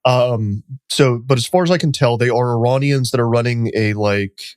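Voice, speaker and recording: A man's voice: mid-range voice, normal speed, darkish tone, smooth, no echo, clean studio sound. A man talking as a reporter guest on a podcast, in a loose, chatty way full of "like" and "um.". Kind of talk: casual podcast chat.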